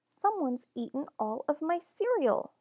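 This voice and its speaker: A woman's voice: high voice, big pitch swings, a little slow, mellow, dry recording, voice pushed up. A woman voicing a fussy, easily annoyed young girl in a children's storybook. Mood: indignant.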